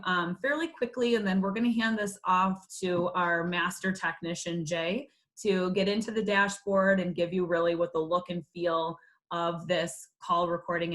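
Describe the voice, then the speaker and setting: A woman's voice: medium pitch, fairly bright tone. A woman presenting in a webinar, explaining features in a business-like way.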